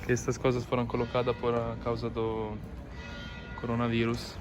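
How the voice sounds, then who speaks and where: A man's voice: mid-range voice, monotone, normal speed. Italian man speaking Portuguese over music. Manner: speaks Portuguese as a learner, sometimes misusing words. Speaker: co-host. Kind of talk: casual travel vlog. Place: outdoors on city streets.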